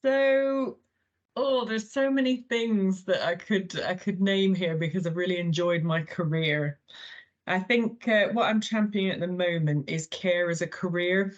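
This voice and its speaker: A woman's voice: lowish voice, measured pace. Woman, 40s, mixed American-English accent, thoughtful, many ums, slightly muffled audio. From Thailand. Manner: thoughtful, with frequent ums and restarts. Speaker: guest, healthcare chief executive. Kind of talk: conversational interview answers.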